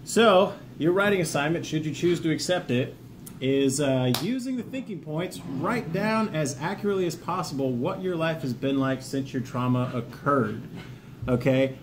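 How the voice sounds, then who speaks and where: A man's voice: on the high side, lively intonation, moderate pace, slight background noise. A man giving informal, encouraging instructions to viewers, partly from notes, in a plainspoken way. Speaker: veteran hosting a self-help video series. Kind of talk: instructional talk to camera, reading from notes.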